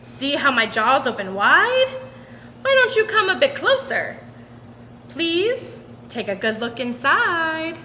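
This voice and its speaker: A woman's voice: high voice, big pitch swings, slow, pushed and bright, full character voice. Woman playing a sly, coaxing storybook shark. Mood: slyly coaxing. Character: sly, menacing grinning shark luring prey. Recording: roomy long reverb with background noise.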